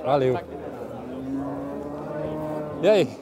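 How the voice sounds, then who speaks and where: A man vlogging his walk through an outdoor livestock market, greeting sellers in a brisk, friendly, chatty way. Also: mid-range voice, animated. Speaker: vlogger touring a cattle market. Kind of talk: casual on-the-spot vlog, asking sellers prices. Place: outdoor livestock fair pens. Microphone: handheld phone camera. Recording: outdoor market with loud crowd and cattle.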